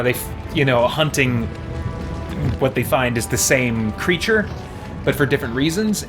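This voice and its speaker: Casual male game streamer over music. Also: mid-range voice. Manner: informal, halting, thinking aloud. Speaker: streamer playing a video game. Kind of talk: casual live gameplay commentary.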